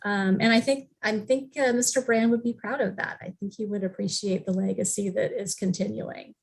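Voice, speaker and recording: A woman explaining local history conversationally on a panel, with tentative, measured phrasing. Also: mid-range voice, fairly even intonation, gravelly, breathy edge.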